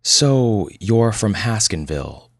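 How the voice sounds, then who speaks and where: A man voicing a weary, skeptical doctor in an audio story, speaking in a measured, patient way. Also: lowish voice, sing-song, no echo, studio quality, voice pushed up, heavily acted.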